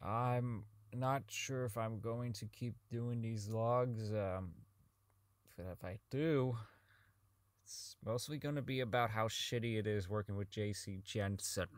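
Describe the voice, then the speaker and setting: A man's voice: on the low side, measured pace, no echo, natural voice. A man playing a socially inept, bitter company owner in an audio drama, rambling and stammering through his log as he slides toward madness. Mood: bitter, weary. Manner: stammering, rambling, self-loathing, foul-mouthed.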